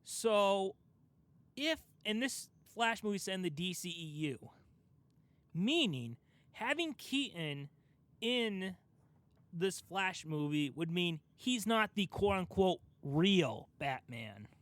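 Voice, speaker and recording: A man's voice: sits high, sing-song, takes it slowly, fairly bright tone, no room sound. A young adult man hosting a casual pop culture podcast, talking through his argument step by step with emphasis. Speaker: podcast host.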